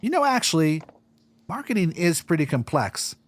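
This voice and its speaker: A man's voice: a little high, very animated, moderate pace. A man playing a defensive, jargon-loving marketing director in a comic musical audio drama. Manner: earnest, rattles off buzzword lists.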